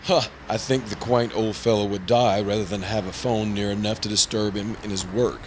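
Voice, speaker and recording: A man's voice: fairly low pitch, steady tone, moderate pace, darkish tone, slight background noise, close to an everyday voice. A man playing a prim, earnest, easily flustered insurance broker in old-time radio drama style. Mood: brisk. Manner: prim, formal, exasperated. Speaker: supporting actor in a radio play.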